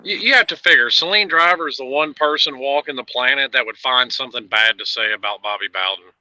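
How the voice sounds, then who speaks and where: A man's voice: mid-range voice, brisk, sharp tone, no echo, low-quality recording. A man in a casual livestream chat, teasing and folksy.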